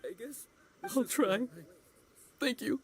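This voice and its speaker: A man's voice: extremely high pitch, slow, a crowd in the background, exaggerated voice. A man playing a dejected, resigned young man in an anime game. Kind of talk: scripted anime game voice acting. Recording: game music behind voice. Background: game music.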